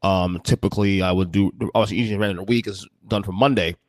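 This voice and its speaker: A man's voice: sits low, steady tone, fast, a little mellow, dry recording. A man in his forties hosting a solo podcast, talking casually with many restarts and fillers. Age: 40s. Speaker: podcast host.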